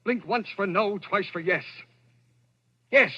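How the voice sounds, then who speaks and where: Husky, tense fugitive man. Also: high-pitched, slow, bright, forceful tone, heavily acted. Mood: intense, insistent. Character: tense, driven fugitive man accused of murder, insistent. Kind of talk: 1940s radio acting. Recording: dull lo-fi old radio recording.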